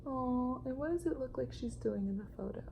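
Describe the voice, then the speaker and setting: A woman reading a picture book aloud to young children, with animated emphasis and coaxing questions to her listeners. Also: high voice, fairly quick, gravelly, noisy background. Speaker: children's storytime reader. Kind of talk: expressive read-aloud storytelling for young children.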